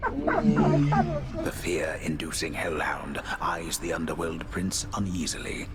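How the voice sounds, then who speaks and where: A man voicing a grave storyteller who narrates a video game in a solemn, measured, dramatic manner. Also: extremely low pitch, expressive, over music, exaggerated voice.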